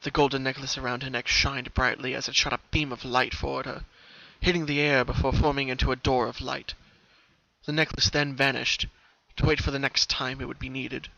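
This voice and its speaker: A man's voice: mid-range voice, flat delivery, a little fast, creaky, bright, forceful tone. A man narrating a scripted story in an earnest, theatrical way. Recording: dull lo-fi recording, background noise. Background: noise.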